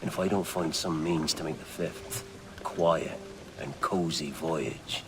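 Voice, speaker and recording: An actor playing a theatrical, grandiloquent pirate captain in a video game, with a breathy growl and a weary, menacing temper. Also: male voice, a bass voice, measured pace, noisy background. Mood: weary, grave. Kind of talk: video game cutscene acting.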